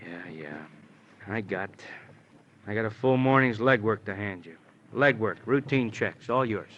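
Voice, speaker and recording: Low-voiced, wry American police detective. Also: male voice, animated, measured pace, fairly bright tone. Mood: dry, leisurely. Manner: rambling, hesitant, needling. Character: wry, long-winded male police detective, world-weary sidekick. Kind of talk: 1950s American radio crime drama acting. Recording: dull, noisy old radio recording.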